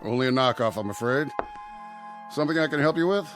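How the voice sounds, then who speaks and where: An actor playing a polite, shrewd and businesslike pawnbroker in a radio drama. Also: male voice, mid-range voice, fast talker, over music, natural voice. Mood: polite, wary.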